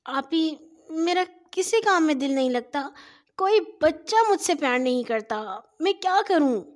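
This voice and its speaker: A woman's voice: high voice, lively intonation, voice pushed up. A woman voicing a mischievous little girl in a children's story, protesting and plaintive. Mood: sad, pleading. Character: mischievous little girl, evasive, pleading, naive.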